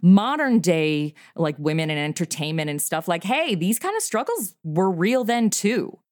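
A woman's voice: lowish voice, dry recording. Middle-aged woman, dry close sound. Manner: animated, laughs often, quick asides. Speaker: podcast creator and guest. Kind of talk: casual interview chat.

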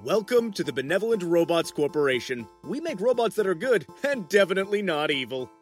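A man's voice: high voice, sing-song, a little fast. A man playing a slick, smug corporate robot salesman, smarmy and condescending, in a sci-fi comedy audio drama. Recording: music behind the voice.

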